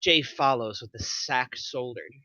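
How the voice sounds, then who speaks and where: A man's voice: mid-range voice, a little slow, sharp tone, no echo. A man reading stage directions as scripted narration at a table read, on a muffled microphone.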